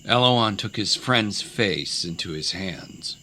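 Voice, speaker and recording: A man narrating a scripted dramatic story in a measured, solemn, literary way. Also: low voice, animated, sharp tone, a little hiss or hum.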